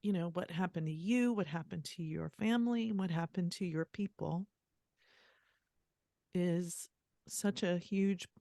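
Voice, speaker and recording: A woman hosting a podcast, speaking gently and with emotion in a casual interview. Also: fairly low pitch, a little slow, no room sound, clean studio sound. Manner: gentle, emotional, tearful at times. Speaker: podcast host.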